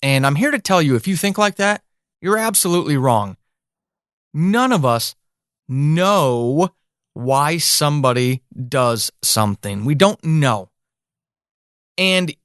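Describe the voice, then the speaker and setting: An American man speaks in a slightly high voice with very little room sound. He talks like a podcast host thinking aloud to listeners, explaining emphatically and stretching key words for stress. The delivery is conversational and repeats points to drive them home. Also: no room sound, clean studio sound. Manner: emphatic, explanatory, with deliberate drawn-out stresses and repetitions. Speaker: writer and leadership teacher. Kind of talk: solo conversational podcast monologue.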